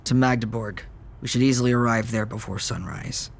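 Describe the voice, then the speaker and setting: A man's voice: medium pitch, fairly quick, vocal fry. A man playing a curious, sceptical new vampire in an audio drama, with a conversational, questioning delivery. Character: newly turned male vampire, curious, sceptical, naive.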